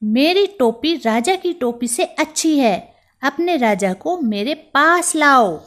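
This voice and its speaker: Lady doing a squeaky cocky little mouse guy, storybook, bossy sing-song threats, jumps around high. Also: lively intonation, takes it slowly, a bit bright, full character voice. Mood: boastful. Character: boastful little male mouse, quick-tempered.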